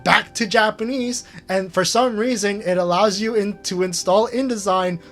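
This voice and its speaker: A man's voice: sits high, moderate pace, fairly bright tone, faint music behind. A man hosting a casual screen-share retrospective, rambling with lots of fillers and chuckling at himself. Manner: rambling, filler-heavy, self-amused. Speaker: channel host.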